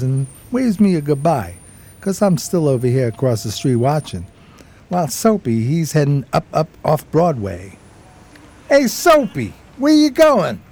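An actor playing a streetwise, homeless New buddy, narrating a radio drama in folksy, admiring slang. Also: male voice, mid-range voice, sing-song, unhurried, a little mellow. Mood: wry storytelling.

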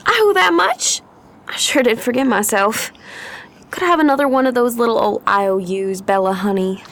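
A woman's voice: a little high, normal speed, a bit bright. A woman playing a chatty, folksy Southern belle at cards in a 1920s period radio drama, with a Southern US accent. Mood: folksy, sweet. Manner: folksy, sweet, gushing.

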